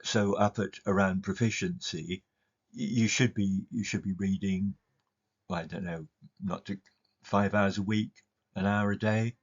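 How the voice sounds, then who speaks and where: A man presenting slides as a teacher, explaining things in a hesitant, halting way with repeated words. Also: lowish voice, fairly even intonation. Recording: slightly dull, lo-fi recording.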